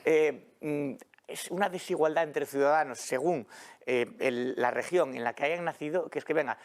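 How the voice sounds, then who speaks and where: A man's voice: fairly high pitch, normal speed. A man from Asturias, a panel guest, giving heated, indignant commentary in a studio debate. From Asturias, Spain.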